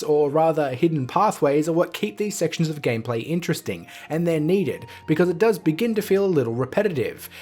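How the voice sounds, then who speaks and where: Man, video game reviewer, slightly high voice, scripted review narration, background music. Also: a little mellow.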